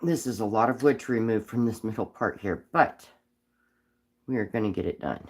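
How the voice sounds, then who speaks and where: A man with a cold and a raspy voice, chatting casually on a live stream while whittling wood, rambling with frequent ums. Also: fairly low pitch, normal speed. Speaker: livestream host whittling wood.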